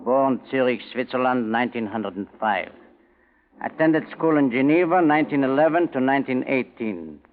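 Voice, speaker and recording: Casting: male actor as icy German camp commandant, deliberate and commanding, mid-pitched; vintage radio drama with narrow, hissy sound. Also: close to an everyday voice. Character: cold Nazi prison commandant plotting his escape. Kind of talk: 1940s radio drama acting. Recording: thin, noisy 1940s radio recording.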